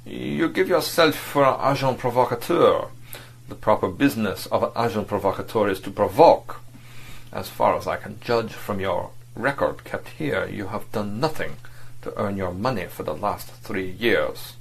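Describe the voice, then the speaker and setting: A man's voice: fairly low pitch, moderate pace, creaky, slightly rough, low-quality recording. A man voicing a haughty foreign embassy official who talks with clipped contempt. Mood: sneering.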